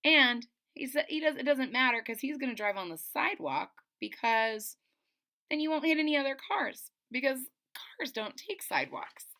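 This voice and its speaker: Female storyteller, middle-aged, for kids' picture-book read-aloud: animated pitch, bright projected tone, dramatic emphasis, explanatory asides. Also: moderate pace. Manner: expressive, explains to young listeners, dramatic emphasis. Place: small indoor room at home.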